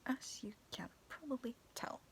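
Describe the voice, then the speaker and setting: Young woman, raspy cracking voice, whispered, slow, gravelly, arm's-length webcam. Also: high voice, animated. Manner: soft whispering, giggly, slow-paced. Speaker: vlogger. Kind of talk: whispered casual vlog with a ghost story. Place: dim bedroom with fairy lights. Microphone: phone or webcam at arm's length.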